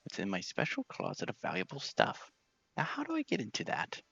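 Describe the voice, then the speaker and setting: A man's voice: huge pitch swings, brisk, gravelly, a bit bright, slightly rough, no room sound, muffled recording, exaggerated voice. A man voicing a befuddled, pompous, scatterbrained old wizard from a fantasy game. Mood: musing, scatterbrained. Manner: pompous, befuddled, swooping into high pitch. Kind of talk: game dialogue read in character.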